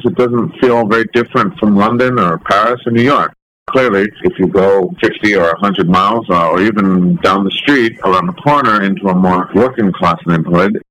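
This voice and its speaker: A man from the United States giving thoughtful, measured and articulate answers as an interview guest. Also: on the low side, fairly bright tone, dry recording, low-quality recording. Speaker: interview guest, documentary photographer.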